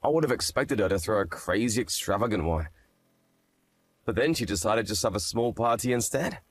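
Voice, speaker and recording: A man's voice: lowish voice, animated, brisk, close to an everyday voice. A man playing a candid, gossipy, skeptical guy in a scripted story drama. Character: skeptical, gossipy man, old high-school acquaintance.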